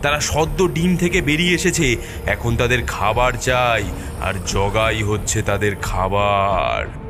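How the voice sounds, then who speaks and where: Male narrator: dramatic, suspenseful storytelling for a horror cartoon, mid-pitched, with clear music bed. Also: takes it slowly. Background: prominent background music.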